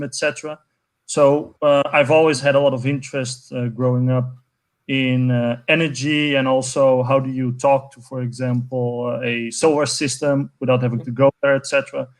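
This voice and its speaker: A man in his twenties from Portugal, a guest speaking enthusiastically over a video call with many fillers and restarts. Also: medium pitch. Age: 20s. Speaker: guest, network co-founder. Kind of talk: conversational interview answer.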